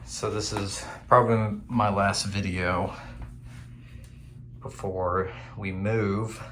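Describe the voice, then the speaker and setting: A man's voice: lowish voice, little pitch movement, takes it slowly, a bit bright. A man narrating a casual hands-on restoration vlog as he works in a small workshop. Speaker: video host and radio restorer. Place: tiny home workshop. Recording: clear background noise.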